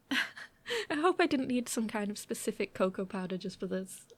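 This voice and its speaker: Flirty female roommate, played by a woman, ASMR roleplay. Unhurried, mid-pitched, teasing and a little hesitant. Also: very animated. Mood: casual, unsure.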